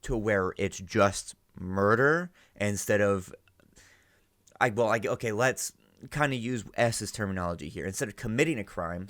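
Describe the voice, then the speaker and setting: Man, lower voice, casual analysis commentary, rambling, dry recording, frequent "right?" tags. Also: fairly bright tone, no echo. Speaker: host reacting to and analysing an audio drama.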